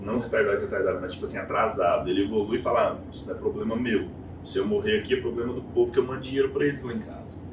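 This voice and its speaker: A Brazilian man in his thirties with a Brazilian Portuguese accent, a guest chatting casually in an interview and telling his story with animated, acted-out quotes. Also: on the low side, very fast. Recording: echoey room, distant mic, noisy.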